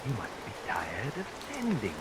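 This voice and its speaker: Swooping, sardonic radio melodrama villain. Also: male voice, mid-range voice, wildly swooping pitch, unhurried. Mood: silky menace. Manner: mock-courteous, sardonic, theatrical. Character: menacing, mocking male villain, silky and sadistic. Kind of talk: vintage radio melodrama acting. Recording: very noisy vintage radio recording with rain.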